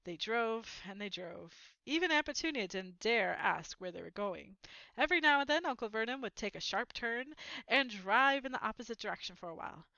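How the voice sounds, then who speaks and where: A woman reading a children's book aloud as an expressive storyteller. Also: a little high, moderate pace, vocal fry, no room sound, highs cut off.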